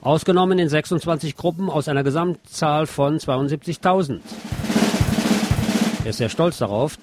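A man presenting the news, reading scripted reports. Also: medium pitch. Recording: music under the voice.

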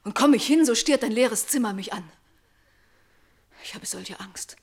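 An actress playing a fearful, trapped young princess who longs for life, pleading breathlessly in a classical radio tragedy. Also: female voice, fairly high pitch, measured pace, breathy edge. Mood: anguished, afraid. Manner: pleading, breathless, fearful, rising into desperate outcry. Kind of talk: classical German stage-tragedy radio acting.

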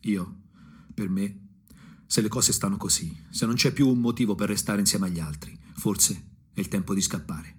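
A man delivering an ironic, theatrical radio monologue in short, punchy phrases, with a husky voice. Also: fairly low pitch, moderate pace. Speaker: storyteller, actor. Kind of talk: dramatic first-person radio monologue.

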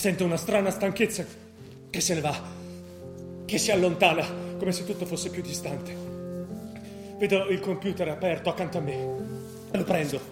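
An actor playing a troubled, restless young student in an intense, brooding radio theatre performance. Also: male voice, on the high side, natural voice. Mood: dazed, searching. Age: young adult. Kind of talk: stage monologue and dialogue in radio theatre. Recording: roomy theatre sound with music behind. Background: music.

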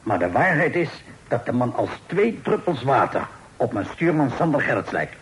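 An actor playing a long-winded old sea captain in a period radio drama, hesitant and full of hemming. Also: male voice, medium pitch, sing-song, moderate pace, slight background noise, lightly acted, low-quality recording. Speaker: guest at a Sunday gathering.

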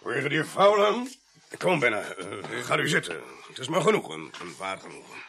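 A man's voice: mid-range voice, wildly swooping pitch, normal speed, crowd noise. An actor playing a tipsy, shaken well-to-do man in his fifties in a radio play, faltering and repeating himself. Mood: tipsy, effusive. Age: 50s.

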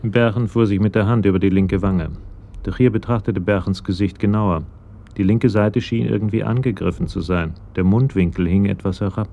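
Crime story narrator, deep, mellow, detached, observant, scripted radio drama. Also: male voice, steady tone, normal speed, vocal fry, highs cut off.

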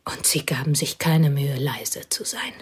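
A woman's voice: extremely low pitch, unhurried, husky, heavily acted. A bitter woman whispering confessions. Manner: hushed, intense, often whispering. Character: bitter lonely woman confiding old betrayals. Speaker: actress. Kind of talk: radio play acting.

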